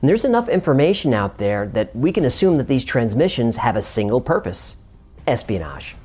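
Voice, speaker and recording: A man's voice: mid-range voice, very animated, a little fast, a little mellow, breathy edge. A man hosting a scripted explainer, narrating it in a conversational way with room for comic banter. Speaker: host.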